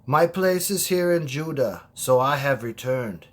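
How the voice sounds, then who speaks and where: A man playing a steadfast, solemn old prophet in an audio drama, husky-voiced. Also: medium pitch, lively intonation, measured pace, close to an everyday voice. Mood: solemn, resolute.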